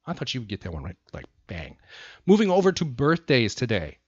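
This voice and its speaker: A man's voice: medium pitch, huge pitch swings, fairly quick, husky, dry recording. An American man hosting a casual trivia chat, asking questions and explaining facts in short phrases. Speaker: podcast host and trivia quizmaster. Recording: slightly dull, lo-fi sound.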